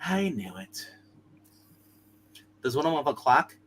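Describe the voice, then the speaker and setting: A man's voice: on the high side, measured pace, a little hiss or hum. A man playing in a tabletop roleplay, chatting casually and jokily and throwing in his own sound effects. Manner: animated, jokey, adds vocal sound effects. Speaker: player in a tabletop roleplay game. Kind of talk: casual joking game chat.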